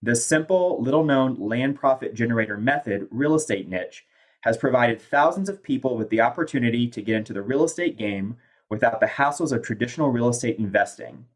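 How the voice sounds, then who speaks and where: A man's voice: mid-range voice, animated, fairly quick. A man hosting a podcast who reads a guest introduction, then chats in an upbeat, effusive way. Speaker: podcast host. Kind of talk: scripted guest introduction, then casual chat.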